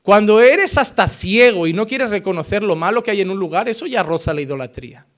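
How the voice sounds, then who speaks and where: A man with a Spain Spanish accent delivers an impassioned sermon in a notably high voice. He presses his points with loud emphasis, then sinks into quiet, earnest passages. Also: lively intonation, brisk. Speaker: preacher.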